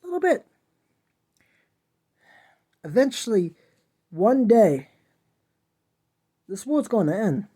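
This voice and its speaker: Slow, whiny-voiced man preaching earnestly. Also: high-pitched, very animated, warm tone, husky. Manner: earnest, preachy, pleading with listeners. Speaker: podcast host. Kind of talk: impassioned solo monologue.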